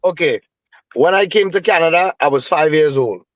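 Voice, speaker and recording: A man from Trinidad with a Trinidadian accent, a guest telling a lively story with Caribbean phrasing in a casual interview. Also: on the high side, normal speed, dry recording. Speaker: guest musician.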